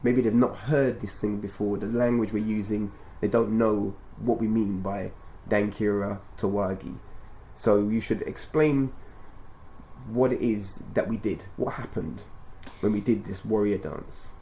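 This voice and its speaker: A man hosting a podcast interview in a measured, instructive way, rephrasing his points and prompting his guest as he talks. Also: fairly low pitch, fairly even intonation, warm tone, a little hiss or hum, low-quality recording. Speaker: radio show and podcast host. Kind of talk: conversational interview, explaining and prompting.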